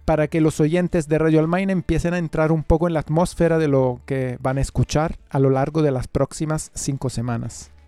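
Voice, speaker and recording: Mellow male radio host. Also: a little high, flat delivery, a little fast, clear, resonant tone, dry recording. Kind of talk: radio interview presenting. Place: radio studio.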